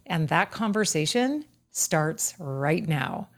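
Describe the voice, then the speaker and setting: Low-voiced woman hosting an interview. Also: animated. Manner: measured, emphatic delivery with deliberate pauses. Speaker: podcast host. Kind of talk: scripted intro then interview questions.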